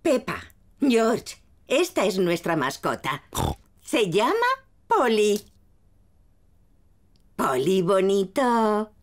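A woman's voice: medium pitch, sing-song, very slow, pauses often. A woman voicing a kindly, doting old grandmother pig in an exclamatory cartoon dub. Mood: doting, cheerful.

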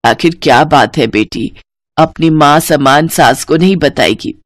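A woman voicing a gentle, doting elderly mother-in-law who soothes affectionately in a cartoon story. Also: deep voice, measured pace, dry recording, clean studio sound, voice pushed down. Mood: tender.

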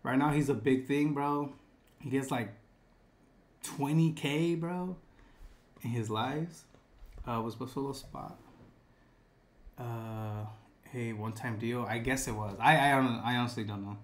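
A man's voice: mid-range voice, measured pace, pauses often. Laid-back young man streaming live. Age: 20s. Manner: laid-back, drops "bro" a lot, reads chat aloud. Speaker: livestreamer. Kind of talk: casual live stream chat. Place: dark room with gaming chair and lights.

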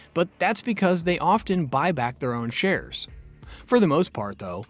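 Guy narrating a finance explainer, fairly high voice, lots of up and down, soft music behind. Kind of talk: scripted narration.

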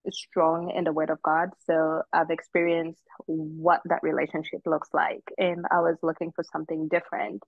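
A middle-aged woman from Zambia, a guest telling her personal story in an unhurried, earnest way with many ums. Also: low voice, dry recording, lo-fi sound. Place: outdoors on a footbridge.

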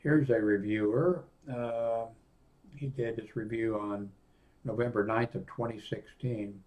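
A man in his sixties giving a casual, unscripted product review in a rambling, halting way, often reading aloud from a screen. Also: on the low side, steady tone, a little mellow. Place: small indoor home office room.

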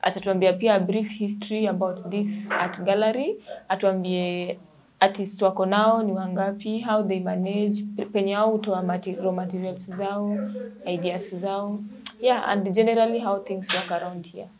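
A woman's voice: medium pitch, level tone, moderate pace, a crowd in the background, poor audio. Young Kenyan woman presenting a show. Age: 20s. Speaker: show host. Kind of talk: presenting to camera, interviewing. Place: indoor art gallery room. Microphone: lapel.

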